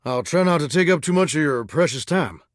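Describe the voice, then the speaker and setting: A man's voice: a little high, expressive, brisk, no room sound, clean studio sound, natural voice. A man voicing a flirty, dramatic living shower who dreams of singing stardom, with a folksy, effusive Southern American accent, in a video game. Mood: excited, hopeful. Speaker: dateable character in a video game. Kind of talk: animated video game voice acting.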